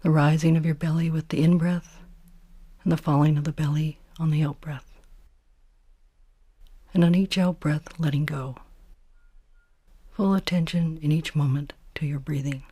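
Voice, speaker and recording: Female meditation guide, mellow and breathy, hushed and soothing, measured delivery for a body scan. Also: low voice, fairly even intonation, dry recording. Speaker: meditation teacher.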